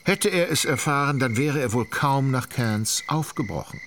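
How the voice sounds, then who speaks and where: An actor as a detached, wry literary narrator, reading ornate prose in a measured, ironic way for a radio drama. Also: male voice, mid-range voice, animated, a bit bright. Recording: noisy outdoor ambience with crickets.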